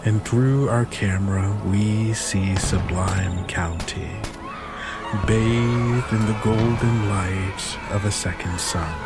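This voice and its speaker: A man's voice: lowish voice, fairly even intonation, slow. A man narrating and hosting an actual-play fiction podcast as its game master. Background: music under the voice.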